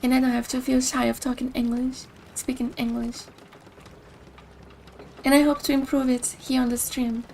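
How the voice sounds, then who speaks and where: A woman's voice: high voice, level tone, moderate pace, creaky, long pauses, warm tone, a little hiss or hum, poor audio. A young woman from Brazil with a Brazilian Portuguese accent, casually chatting while she streams a game. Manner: chatty, rambling, thinks aloud. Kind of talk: casual gameplay commentary.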